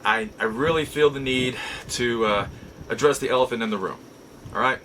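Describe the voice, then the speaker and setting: A man hosting a nostalgia show in a casual monologue, with a playful, theatrical manner and drawn-out emphasis. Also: medium pitch, pushed and bright, a little hiss or hum, rough recording. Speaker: host of a nostalgia collectibles show. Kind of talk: casual scripted-feel vlog monologue.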